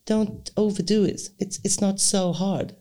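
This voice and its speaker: A middle-aged woman, a singer and voice teacher, talking casually in English with thoughtful, halting phrasing and frequent restarts. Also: mid-range voice, fairly even intonation, warm tone. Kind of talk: casual conversation.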